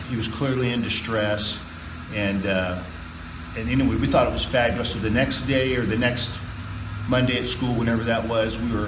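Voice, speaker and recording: A man with a slightly low voice tells a personal tale in a casual, halting way. He takes his time and pauses often. The recording is roomy and distant, with clear background hum. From California, United States. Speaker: guest storyteller. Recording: roomy, distant mic, air-conditioner hum.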